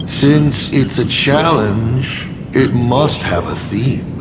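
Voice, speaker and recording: A man voicing a scheming artist villain in a video game, with a grandiose, measured, taunting delivery. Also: medium pitch, expressive, heavy background noise. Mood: grand, taunting. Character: theatrical scheming male artist villain playing games of secrets. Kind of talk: dramatic video game acting. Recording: loud music behind. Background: loud music.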